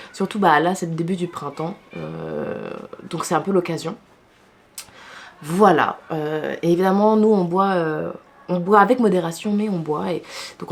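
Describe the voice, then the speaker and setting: A woman in her thirties from France, chatting casually into her camera as a vlogger and chef, with lots of fillers and laughs. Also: lowish voice. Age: 30s. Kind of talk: casual vlog chat.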